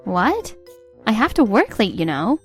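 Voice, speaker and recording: A woman's voice: medium pitch, sing-song, measured pace. An actress playing a fed-up young wife who narrates her own story in an exasperated, sarcastic way, with faint music behind. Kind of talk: scripted narration.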